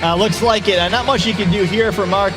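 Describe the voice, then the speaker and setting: A man giving color commentary at a gaming tournament, breaking down strategy in a quick, analytical way with frequent fillers. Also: high-pitched, music in the background, poor audio.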